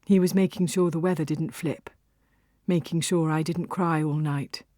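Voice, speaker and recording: A woman reading a first-person literary story as an audiobook narrator, with a husky voice. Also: deep voice, steady tone, normal speed, warm tone, no room sound, clean studio sound.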